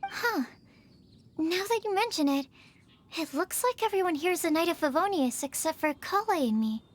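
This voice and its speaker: A woman voicing a gentle, curious and polite young woman in a video game, with a considerate, slightly tentative manner. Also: very high voice, animated, normal speed.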